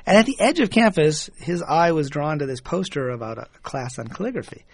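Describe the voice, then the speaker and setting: Thoughtful man with a higher voice. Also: lively intonation, fairly quick, husky, dry recording. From Michigan, USA. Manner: thoughtful, chuckles often, repeats words when starting. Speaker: guest, author and engineer. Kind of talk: reflective conversational storytelling.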